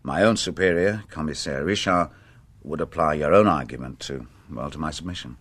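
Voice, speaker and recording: A man's voice: extremely low pitch, normal speed, fairly bright tone. A man acting a shrewd, dogged police inspector in a radio drama, polite, guarded and understated in manner. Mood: deferential.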